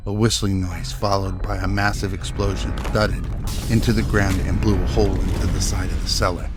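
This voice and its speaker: A man reading scripted narration for an action-filled radio drama as its storyteller. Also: sits low.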